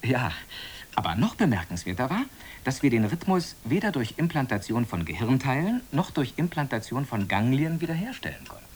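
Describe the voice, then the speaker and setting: An actor playing an earnest, deferential junior scientist in a radio play, polite and precise. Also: male voice, mid-range voice, very animated, fairly quick, slight background noise, lightly acted.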